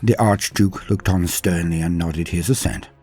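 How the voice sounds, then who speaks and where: A man giving dramatic scripted narration of a war story in a measured, grave manner. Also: low-pitched, fairly even intonation, a little mellow, breathy edge, soft background music.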